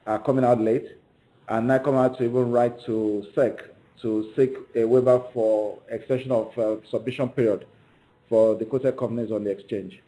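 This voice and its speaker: A man giving formal, halting, measured answers as a company executive on a conference call. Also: medium pitch, mellow, poor audio.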